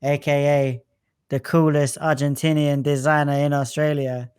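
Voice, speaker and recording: A man's voice: fairly high pitch, flat delivery, normal speed, darkish tone, clean tone. A man hosting a casual podcast interview, chatty and enthusiastic with lots of fillers. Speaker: podcast host.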